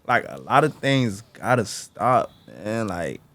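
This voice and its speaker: Podcast co-host, twenties, male, lowish voice, dry close sound, halting casual delivery. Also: big pitch swings, fairly bright tone, dry recording. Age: 20s. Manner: rambling, halting, many fillers and restarts, says bro. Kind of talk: casual reflective chat.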